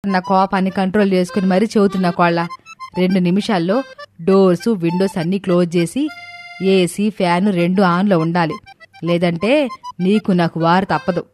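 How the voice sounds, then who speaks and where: A woman's voice: lowish voice, steady tone, normal speed, a little mellow, pitched below the performer's own voice, lightly acted. A woman puts on a bossy, rich middle-aged mother-in-law for a moral story. She is hot-tempered and domineering, scolding with sarcasm. Light music runs underneath. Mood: sarcastic, threatening. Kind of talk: dramatized moral story dialogue.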